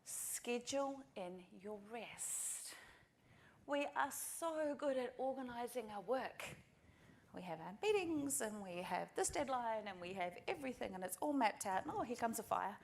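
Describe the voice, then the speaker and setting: A woman's voice: fairly high pitch, lively intonation, measured pace, gravelly. A middle-aged woman from New Zealand with a New Zealand accent, giving a practical, earnest conference talk straight to the audience. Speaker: conference speaker. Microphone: presentation mic.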